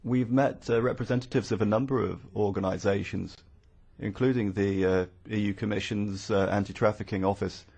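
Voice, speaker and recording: A man working as a conference interpreter, rendering formal speeches live in a halting, phrase-by-phrase way. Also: on the low side, fairly even intonation, creaky, a little mellow, husky.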